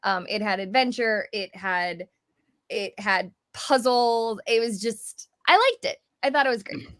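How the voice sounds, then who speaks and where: A woman's voice: mid-range voice, measured pace, a bit bright, dry recording, studio quality. A woman giving her chatty, enthusiastic take as a panelist in a casual podcast discussion.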